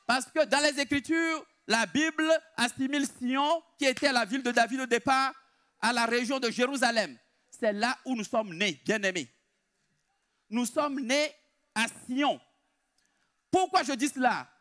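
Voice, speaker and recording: A man preaching a sermon in an emphatic, deliberate way, repeating his key phrases. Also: extremely high pitch, sharp tone.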